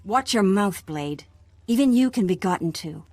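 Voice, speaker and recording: An actress playing a sly, teasing undercover contact with an edge of threat in a video game. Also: female voice, medium pitch, expressive, normal speed, darkish tone. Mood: quiet threat.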